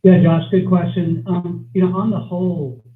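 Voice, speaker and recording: A male executive answering questions on an earnings call with halting, broken phrasing. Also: sits high, level tone, phone-quality sound. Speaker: company executive.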